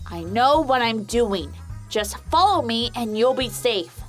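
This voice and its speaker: A woman acts out an irritable pony mare from a cartoon fan drama. Her voice sits fairly high and swings widely as she grumbles. She takes her time with each blunt, gruff line. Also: lively intonation, a little slow, faint music behind. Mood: curt, bossy. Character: grumpy, foul-mouthed female pony quarry worker. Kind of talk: fan fiction audio drama acting.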